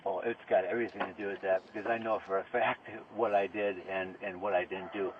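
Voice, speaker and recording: A man under arrest talking informally with police, insistent and protesting, halting and often repeating himself. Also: deep voice, fairly even intonation. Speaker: arrested murder suspect. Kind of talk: informal back-and-forth with police during arrest. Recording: noisy, tinny police audio recording.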